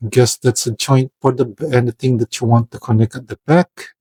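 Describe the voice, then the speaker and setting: A man talks through a figure review at an ordinary, middle pitch. He speaks with care and often pauses to find the right word. The recording is dry, with no room sound. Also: steady tone, dark tone, slightly rough, no echo. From Philippines. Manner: hesitant, searching for words, careful. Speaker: toy reviewer. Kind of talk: product review voice-over.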